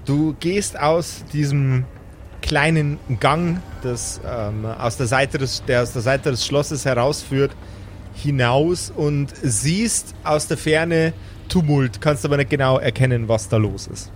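A man's voice: mid-range voice, takes it slowly, a little hiss or hum. A man, narrating an improvised tabletop game as game master.

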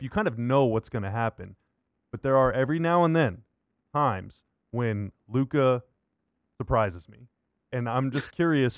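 A man's voice: medium pitch, dry recording, lo-fi sound. A man hosting a sports interview in a casual, conversational and enthusiastic way. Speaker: podcast host.

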